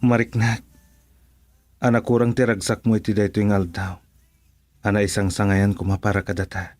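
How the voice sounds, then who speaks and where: A man's voice: lowish voice, level tone, normal speed, darkish tone, soft background music, lightly acted. A man playing a disapproving guest at a family wedding in an Ilocano radio drama. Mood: grave.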